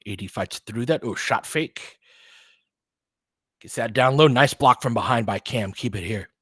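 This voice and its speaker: A man in his forties doing casual play-by-play of a basketball game, chatty and excitable, thinking aloud between plays. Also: medium pitch, unhurried, breathy edge, no echo, clean studio sound. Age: 40s. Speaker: play-by-play host. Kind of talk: casual fan play-by-play commentary.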